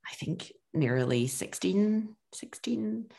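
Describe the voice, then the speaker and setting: A woman's voice: mid-range voice, lively intonation, slow pace, vocal fry, mellow, slightly rough. A woman from Glasgow with a Scottish accent, chatting casually as a podcast guest in a hesitant, thoughtful way. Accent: Glaswegian Scottish. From Glasgow, Scotland. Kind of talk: casual chat.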